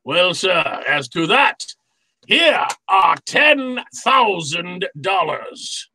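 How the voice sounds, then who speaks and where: An actor playing a jovial, cunning older crime boss in a radio drama, speaking with florid, formal politeness. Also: male voice, high voice, big pitch swings, very slow, sharp tone, heavily acted. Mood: sly, courteous. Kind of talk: old-time radio drama acting.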